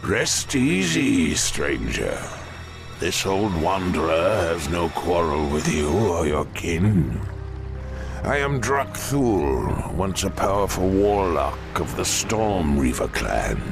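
A man's voice: low-pitched, very animated, gravelly, over music, heavily acted. A man voicing a weary, haunted old orc warlock, telling his tale solemnly in a fantasy game. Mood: weary, resigned. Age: older. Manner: solemn, slow storytelling. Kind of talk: dramatic fantasy game acting.

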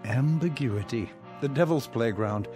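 A man's voice: mid-range voice, measured pace, over music, natural voice. An actor playing a wry, theatrical condemned poet who likes his drink, in a radio drama.

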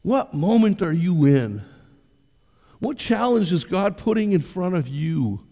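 Older male pastor, conversational sermon delivery, mellow voice, reverberant church hall. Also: high-pitched, big pitch swings, a little slow. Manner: conversational preaching, direct questions to the congregation. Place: church stage.

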